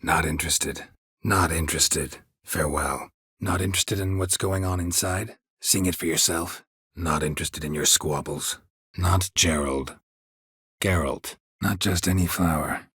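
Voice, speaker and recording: A man playing a gruff, stoic, sardonic monster-hunting mercenary in a video game, terse and deadpan with a gravelly voice. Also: low-pitched, measured pace, no echo, studio quality, exaggerated voice. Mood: dry, guarded. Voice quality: gravelly, husky. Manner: terse, deadpan, clipped sentences. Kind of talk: video game dialogue acting.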